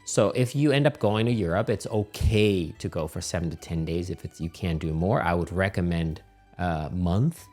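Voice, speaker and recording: A man's voice: low-pitched, moderate pace, a little mellow, soft background music. Man giving chatty travel advice. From Finland. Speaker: channel host. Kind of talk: casual talking-head travel advice.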